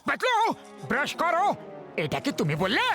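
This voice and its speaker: Chubby food-loving cartoon man, high voice, panicky yelling, background music. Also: wildly swooping pitch, normal speed, heavily acted. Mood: excited, panicked.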